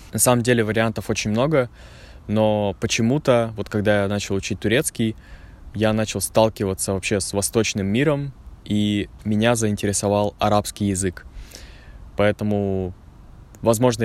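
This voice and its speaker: Unhurried man vlogging outdoors in a forest. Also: fairly low pitch, darkish tone. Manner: clear, unhurried, explanatory. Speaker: language teacher vlogger. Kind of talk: casual vlog talk to learners.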